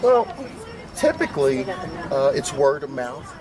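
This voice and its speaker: A man's voice: mid-range voice, big pitch swings, slow, a crowd in the background. A man with a New York accent, a youth basketball coach giving an earnest, motivational interview. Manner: earnest, motivational, explains patiently. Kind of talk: on-location interview. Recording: distant mic, loud street noise.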